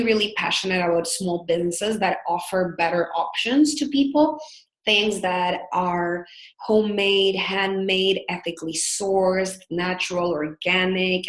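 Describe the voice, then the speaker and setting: A woman's voice: fairly low pitch, roomy sound, rough recording. A woman from El Salvador with a Salvadoran Spanish accent, a business coach giving an earnest, upbeat live webinar with frequent filler words.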